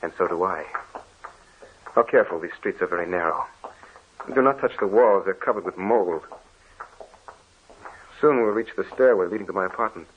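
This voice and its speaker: An earnest, lonely man in a vintage radio drama, played by an actor, speaking in a gentle, low, soothing tone. The old broadcast recording sounds dull and muffled, with a faint hiss underneath. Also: normal speed, pauses often, natural voice. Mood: tender. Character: kindly lonely Dutch man, earnest and devoted.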